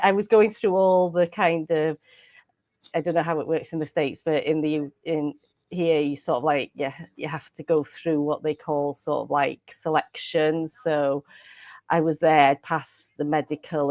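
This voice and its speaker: Welsh woman, native Welsh speaker, low voice, dry close sound, giggly casual guest. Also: no room sound. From Wales. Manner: giggly, rambling with frequent laughs and restarts. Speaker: podcast guest. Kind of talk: casual interview chat.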